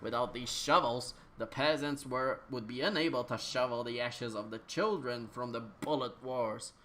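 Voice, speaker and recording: A man narrating an absurd comic story as a dramatic reading, with theatrical, drawn-out delivery and mock gravity. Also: medium pitch, a bit bright, a little hiss or hum.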